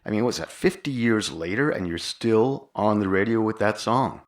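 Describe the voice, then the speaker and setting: A man's voice: on the low side, expressive, normal speed. A man hosting a podcast and interviewing a guest conversationally.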